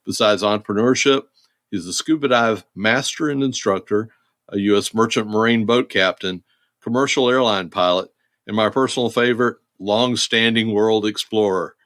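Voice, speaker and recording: A man in his forties hosting a podcast, reading a guest intro and then chatting in a steady way. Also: on the low side, normal speed, dry recording, studio quality. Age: 40s. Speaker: podcast host.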